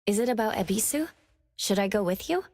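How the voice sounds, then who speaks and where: A woman's voice: mid-range voice, lively intonation, a little fast, a little mellow, natural voice. An actress playing an earnest, inquisitive young woman investigator in an anime game, with a questioning manner. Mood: curious, earnest. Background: background music.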